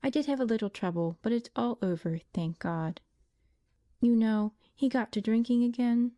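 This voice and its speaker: A brave young working woman talks soothingly to her sick sister, voiced by a female reader. Her voice sits a bit higher than the narration, mellow and dry, like an old-fashioned storybook. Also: moderate pace, no room sound, natural voice. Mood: reassuring.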